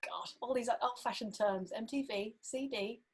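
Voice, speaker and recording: A woman's voice: a little high, normal speed, slightly rough. A woman drummer chatting casually on a podcast, thinking aloud with many fillers.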